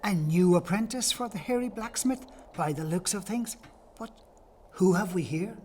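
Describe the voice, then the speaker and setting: A man's voice: high-pitched, normal speed, darkish tone, a little hiss or hum, natural voice. A man playing a kindly, solemn Irish priest in an audio play, speaking in archaic, declamatory phrases. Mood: indignant.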